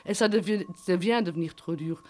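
A woman's voice: fairly low pitch, normal speed, warm tone. A woman whose first language is German, speaking French as a guest and prisoner's wife, often searching for words and repeating herself. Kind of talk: earnest testimony.

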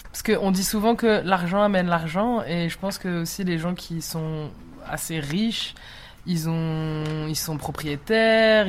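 Low-voiced woman answering over faint noise. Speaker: street interviewee. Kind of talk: reflective impromptu opinion.

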